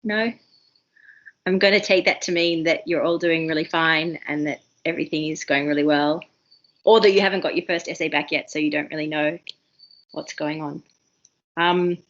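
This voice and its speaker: An Australian woman runs an online university writing seminar, talking quickly and eagerly, full of ums and restarts. Her voice sits fairly low. The video call audio is dull and muffled. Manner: fast, excitable, many ums and restarts.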